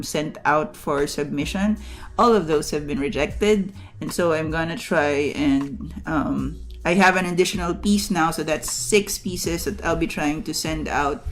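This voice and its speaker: Woman streamer, low voice, slow rambly chat with lots of ums, faint lofi music behind. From Philippines. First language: Tagalog. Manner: rambling, halting, many fillers and restarts. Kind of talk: casual chat to stream viewers.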